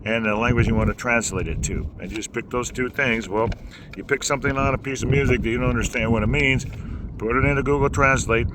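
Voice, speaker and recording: A man with a low voice teaching singing in a folksy, rambling way, with many fillers and restarts. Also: quick pace. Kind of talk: casual instructional talk. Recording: noisy background.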